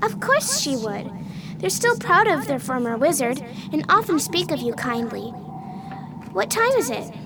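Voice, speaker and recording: An earnest young farm girl, played by a woman in a radio play. The voice is high, kind and polite, with clear noise behind it. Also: very animated, a little slow, exaggerated voice. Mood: confident. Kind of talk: radio drama acting. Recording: noisy radio drama recording.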